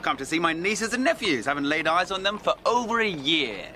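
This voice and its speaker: An actor playing an affectionate, eager younger brother, a breezy Victorian gentleman, in a radio drama. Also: on the high side, big pitch swings, brisk, a little hiss or hum. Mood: cheerful, excited.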